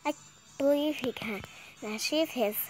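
High-pitched, slow young woman reading haltingly. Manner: halting, stumbles over words. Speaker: gameplay video creator reading aloud. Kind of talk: reading visual novel text aloud. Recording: music behind the voice.

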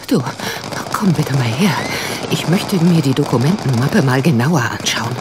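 Expressive, anxious woman sleuth. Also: a bass voice, normal speed, heavy background noise. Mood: curious, tense. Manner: expressive, exclamatory, theatrical. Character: amateur woman sleuth, anxious, easily shocked, curious. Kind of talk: German detective radio play acting.

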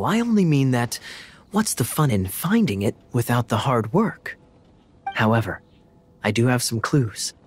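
Suave young male knight for a game: mid-pitched, teasing, measured, faint music underneath. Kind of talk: video game voice acting.

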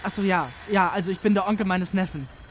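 A man's voice: high voice, fast, a little hiss or hum, natural voice. A young man playing an earnest, awkward amateur detective in film dialogue, speaking politely and hesitantly. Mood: flustered. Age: young adult.

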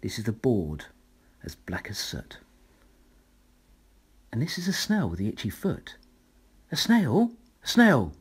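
Slow, animated male bedtime storyteller, slightly breathy. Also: lowish voice. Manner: measured, dramatic storytelling. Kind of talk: expressive read-aloud of rhyming children's picture book.

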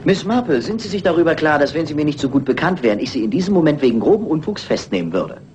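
An actor playing an exasperated police inspector in a crime radio play, sardonic and officious. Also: male voice, on the high side, big pitch swings, fast, darkish tone, dull audio, slight background noise. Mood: sardonic annoyance.

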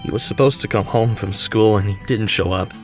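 A man's voice: on the low side, fairly quick, soft background music, natural voice. A man acting an emotional, pleading young man in an amateur audio drama, desperate for his missing brother. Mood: grieving, desperate. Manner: emotional, pleading, sighing delivery.

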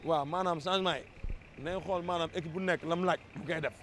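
A man's voice: a little high, steady tone, normal speed, a bit bright. A Senegalese man giving an impassioned, emphatic opinion in a street interview, often repeating his words. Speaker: street interviewee, football fan. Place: busy city street, outdoors. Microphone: handheld interview microphone. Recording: outdoor street noise. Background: traffic and street ambience.

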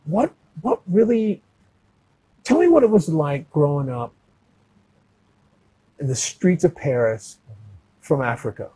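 Brief: man in his thirties, slightly higher husky mellow voice, casual slangy host firing eager questions. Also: low-quality recording. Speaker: podcast host. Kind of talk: casual interview. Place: boxing gym, seated in ring.

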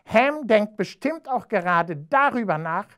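A storyteller voicing a spiteful, scheming female cat in a children's storybook, with a sneering, drawn-out delivery. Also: mid-range voice, lively intonation, fairly bright tone, voice pushed up. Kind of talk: storybook character voice.

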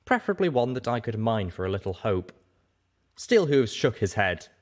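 A man's voice: lowish voice, big pitch swings, moderate pace. A man reading a story aloud as scripted first-person narration.

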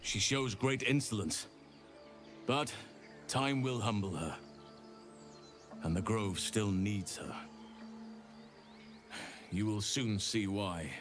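An actor playing a stern, formal druid leader in a video game, speaking in a measured, solemn and commanding way. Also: male voice, fairly low pitch, music in the background. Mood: grave, stern. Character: stern, grave male druid leader, commanding yet grateful. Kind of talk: dramatic game dialogue.

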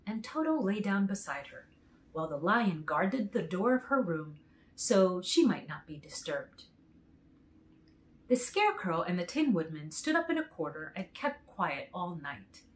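A middle-aged woman reading a children's story aloud in an expressive, clearly paced way. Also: fairly low pitch. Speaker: storytime reader.

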